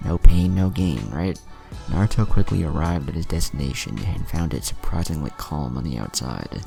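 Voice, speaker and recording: A man's voice: very deep voice, lively intonation, moderate pace, creaky, dark tone. A man narrating a scripted fan-fiction story, with music behind his voice. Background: music under the voice.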